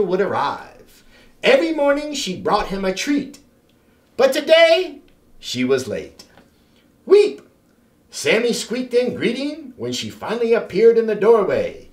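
A middle-aged man reads aloud to children in an expressive, theatrical way with deliberate phrasing. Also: high voice. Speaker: children's storybook reader. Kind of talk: animated read-aloud for children. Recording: roomy, echoing sound.

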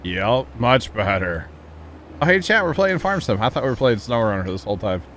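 A man's voice: medium pitch, sing-song, normal speed, fairly bright tone, a little hiss or hum. A man hosting a casual gaming livestream from home, chatty and laid-back, laughing often with a co-player. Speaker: livestream host. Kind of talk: casual gaming stream chat. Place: home streaming setup.

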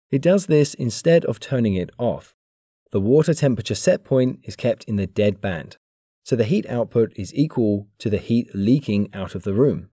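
A man giving a clear, measured, scripted narration for an engineering explainer video. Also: lowish voice, warm tone, no echo, clean studio sound. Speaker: engineering educator.

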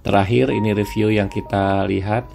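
A man's voice: fairly low pitch, fairly even intonation, moderate pace, creaky, darkish tone. A man narrating a walk-through house tour, explaining each step as he presents the property. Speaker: real estate agent presenting a house tour. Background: plucked guitar music.

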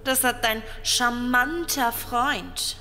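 A woman playing a curt, dismissive stranger who speaks slowly and dryly. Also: on the high side, sharp tone, long reverb tail, faint music behind, full character voice. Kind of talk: audio drama acting.

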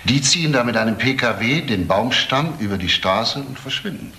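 An actor playing a middle-aged senior detective in a German crime radio play, questioning deliberately and insistently. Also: male voice, medium pitch, expressive, sharp tone, echoey room, slight background noise. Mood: probing, deliberate.

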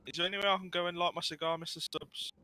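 Man playing a fast-talking, prickly lawyer. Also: high voice, fairly even intonation, sharp tone, no echo. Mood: businesslike. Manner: formal, argumentative, addresses people by title. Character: male lawyer, prickly, formal, defensive. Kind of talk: improvised roleplay in an online game. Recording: noisy online game voice chat.

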